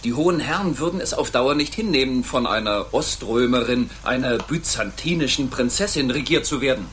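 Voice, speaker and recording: A man's voice: medium pitch, expressive, fairly bright tone, a little hiss or hum, natural voice. A man playing an honourable captain of the guard, speaking formally and courteously in a radio play. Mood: earnest. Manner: formal, measured explaining. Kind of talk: German radio play acting.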